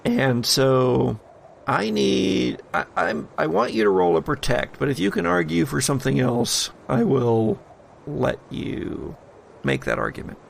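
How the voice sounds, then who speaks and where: Male game master, gravelly, unhurried, dramatic horror narration, slight background noise. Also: fairly high pitch, animated. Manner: dramatic, deliberate storytelling. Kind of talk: narrating a horror tabletop game, addressing players.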